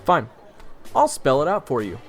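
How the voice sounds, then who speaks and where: A man playing a sarcastic young traveler who narrates his own adventure in a wry, expressive, snarky way. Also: a little high, moderate pace. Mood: mocking. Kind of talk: first-person dramatic narration and dialogue. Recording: roomy echo with long reverb, music behind.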